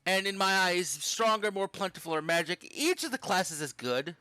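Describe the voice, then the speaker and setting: A man's voice: high voice, animated, moderate pace, bright, forceful tone, no echo. A young man giving casual, rambling commentary while playing a game, swearing offhandedly now and then. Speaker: gaming channel host.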